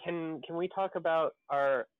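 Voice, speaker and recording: A man's voice: fairly high pitch, a little slow, clean tone, no room sound, phone-quality sound. A man chatting casually and jokily as a co-host on a group livestream. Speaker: audio editor and co-host on a stream.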